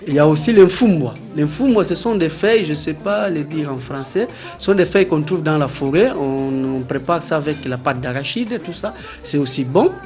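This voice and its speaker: A man in his thirties from the Democratic Republic of the Congo, a Congolese language his mother tongue, talking earnestly with halting restarts in a documentary interview. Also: fairly high pitch, lively intonation, a little mellow, soft background music. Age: 30s.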